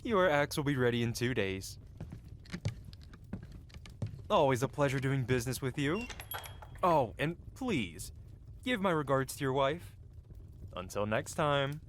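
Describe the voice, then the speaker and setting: A man's voice: mid-range voice, very animated, moderate pace, slight background noise, lightly acted. A man playing a cocky, flirtatious medieval blacksmith in a fantasy ASMR roleplay, with a playful, teasing and theatrical way of talking. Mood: sly, businesslike.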